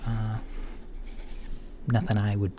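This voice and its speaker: A man doing a soft-spoken, partly whispered ASMR roleplay as a calm, reassuring doctor, murmuring hesitantly with long pauses. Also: lowish voice, faint music behind. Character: calm reassuring male alien doctor at a checkup. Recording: lo-fi with faint background noise.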